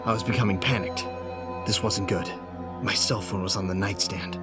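A man's voice: deep voice, fairly even intonation, normal speed, lightly acted. An actor playing a frightened, armed man trapped at home, telling his horror story in intense, fragmented bursts. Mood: shaky, rising panic. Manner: intense, breathless self-talk with short fragmented phrases. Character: panicked man trapped at home by an unseen intruder, armed, frantic. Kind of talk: first-person horror audio drama narration. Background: music.

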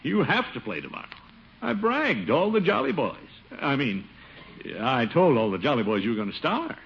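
An actor playing a pompous, doting middle-aged uncle with a blustery, chuckling delivery in 1950s radio comedy style. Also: male voice, mid-range voice, huge pitch swings, moderate pace, creaky. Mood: dismayed. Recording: muffled, hissy old radio recording.

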